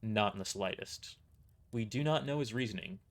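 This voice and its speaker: A man's voice: lowish voice, close to an everyday voice. A man as a weary, grave mercenary boss. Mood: grave, explanatory. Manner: measured, grave, matter-of-fact.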